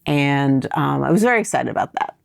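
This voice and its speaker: A woman's voice: extremely low pitch, expressive, a little fast, creaky, no room sound, clean studio sound. A middle-aged woman raised in New York, answering interview questions in a thoughtful, winding storytelling way. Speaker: podcast guest.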